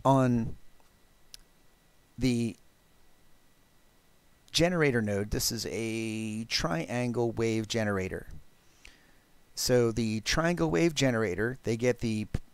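A man giving a live technical walkthrough of code as a presenter, explaining deliberately and haltingly with pauses. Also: medium pitch, smooth, dry recording. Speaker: presenter of a programming talk.

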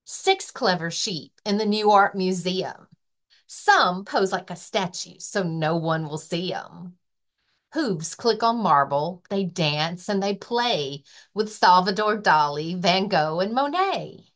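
A woman librarian reading a children's rhyming picture book aloud with expressive, rhythmic delivery. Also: on the low side, takes it slowly. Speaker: librarian and story-time reader.

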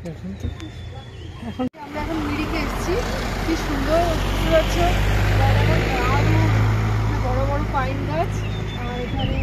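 A middle-aged woman chatting casually as she films a travel vlog, pointing out the sights with delight, on a handheld phone outdoors and from a car. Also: sits high. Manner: admiring, pointing out sights to the viewer. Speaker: travel vlogger. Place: outdoors on hill roads and in a moving car. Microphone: phone camera held by the speaker. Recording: loud outdoor and car noise.

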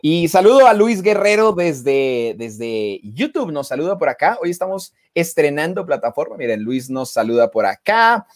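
Lively young man hosting a video call. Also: normal speed, few pauses. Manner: enthusiastic, warm greetings to viewers, lively pitch swings. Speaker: host and mentor. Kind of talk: live video call interview. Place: room with bookshelves, indoors. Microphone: earphone headset on video call.

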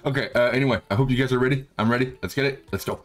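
A young adult man hosting a reaction video with casual commentary in a husky voice, recorded close up at his desk. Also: mid-range voice, very fast.

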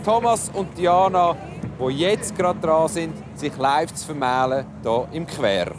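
A man hosts a live outdoor television event, speaking into a handheld mic. His voice sits fairly high and his delivery is brisk and upbeat. Music plays clearly behind him in this archive broadcast. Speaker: TV event host. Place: outdoor festival grounds.

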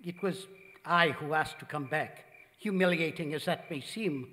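Solemn, protective manservant for radio play, formal delivery, high-pitched and sharp-edged, theater reverb. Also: male voice, a little hiss or hum. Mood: proud, solemn. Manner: formal, deliberate, grave. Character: solemn devoted manservant, protective former husband of his mistress. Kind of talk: old-time radio drama acting on stage. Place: large theater stage, vintage-style stand mics. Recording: echoey theater hall reverb.

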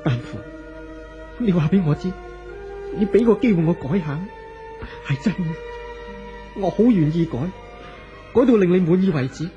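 An earnest, devoted husband pleads and coaxes in Hong Kong Cantonese, with a fairly high, very animated voice. It is 1970s radio drama, on a dull old recording with music behind. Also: male voice, moderate pace, pauses often. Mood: pleading. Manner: earnest, coaxing, emotive. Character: anxious, pleading, devoted wealthy young husband.

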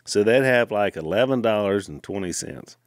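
A middle-aged man, co-hosting a podcast and telling stories in a casual, animated way at a studio mic. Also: lowish voice, no room sound. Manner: animated storyteller, quick asides. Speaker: podcast co-host. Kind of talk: casual storytelling chat. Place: wood-paneled studio room. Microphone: studio mic on boom arm, headphones.

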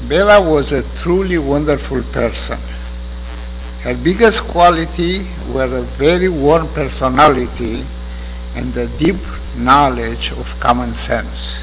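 Older man with a Romanian accent, slow eulogy. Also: mid-range voice. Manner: slow, measured, earnest delivery. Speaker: widower giving a eulogy. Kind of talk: memorial eulogy. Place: synagogue sanctuary. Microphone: lectern microphone. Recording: reverberant hall with hum.

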